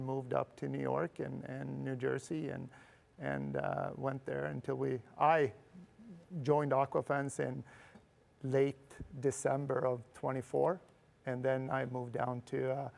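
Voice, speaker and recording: A man's voice: mid-range voice, gravelly, a little mellow. A middle-aged man from Sweden, a company guest explaining steadily in a casual TV interview.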